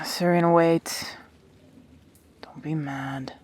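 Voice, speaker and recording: A woman's voice: low voice, fairly even intonation, drawn-out words, breathy edge, exaggerated voice. A woman playing a guarded, defensive, brooding immortal in an intimate sci-fi audio drama, terse and hesitant. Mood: apologetic.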